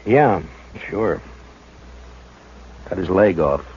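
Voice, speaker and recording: An actor playing a steady, weary frontier marshal in a 1950s radio drama, talking in a low-key, terse, dry way. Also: male voice, extremely slow, husky, heavily acted. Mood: grim, terse. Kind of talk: 1950s radio drama acting with first-person narration. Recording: dull 1950s radio broadcast, faint hiss.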